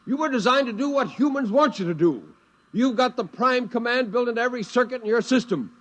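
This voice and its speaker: A man's voice: very high-pitched, animated, a little fast, fairly bright tone, no room sound. A man playing an exasperated spaceman, emphatic and wry, in a 1950s radio drama. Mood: furious. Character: exasperated spaceman narrating his kidnapping by a robot.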